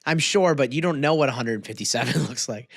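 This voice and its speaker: A man's voice: mid-range voice, fairly quick, gravelly, fairly bright tone, no room sound, clean studio sound. A man chatting casually as a podcast co-host, talking in a slangy, incredulous way. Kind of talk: casual chat.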